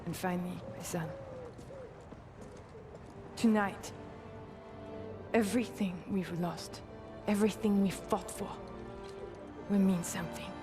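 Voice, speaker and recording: An actress playing a resolute Resistance fighter, speaking slowly and gravely with a French accent. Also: female voice, mid-range voice, steady tone, music in the background, close to an everyday voice. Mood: grave resolve. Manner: slow, grave and determined. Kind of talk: video game cutscene acting.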